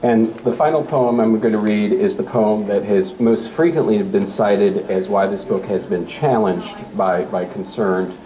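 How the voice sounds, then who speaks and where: A middle-aged man giving a public talk and reading poems aloud, with expressive storytelling. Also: lowish voice, unhurried, few pauses, echoey room, rough recording. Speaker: speaker reading poems at a library event. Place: campus center event space. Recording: live event with faint room noise. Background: faint ambient noise.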